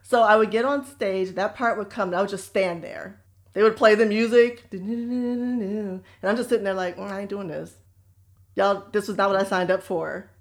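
Woman co-host, lively anecdote teller, conversational delivery. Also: medium pitch, normal speed. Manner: animated, laughing storyteller. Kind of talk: casual storytelling chat.

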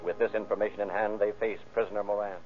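A man's voice: lowish voice, flat delivery, fast talker, soft background music. An American man announcing and narrating from a script in 1930s old-time radio style. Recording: muffled, band-limited old radio recording.

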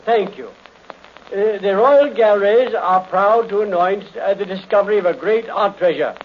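An actor playing a flustered, fussy auctioneer with hesitant, formal speech in an old radio play. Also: male voice, high voice, moderate pace, close to an everyday voice. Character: flustered, fussy male auctioneer pleading for order. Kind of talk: old-time radio acting. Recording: muffled noisy radio recording, crowd behind.

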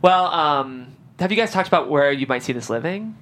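A man's voice: on the high side, animated, normal speed, fairly bright tone. A man hosting a panel show in casual, conversational chat. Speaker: host of a table-read show. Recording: faint background room noise.

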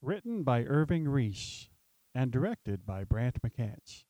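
A man narrating and hosting in the scripted style of old-time radio, measured and theatrical. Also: medium pitch, sing-song, warm tone, no room sound. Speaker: narrator and host.

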